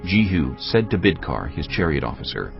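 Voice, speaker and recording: A man's voice: deep voice, big pitch swings, normal speed, vocal fry. A man narrating a dramatised audio Bible as a scripted, dramatic reading. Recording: dull lo-fi audio, music bed.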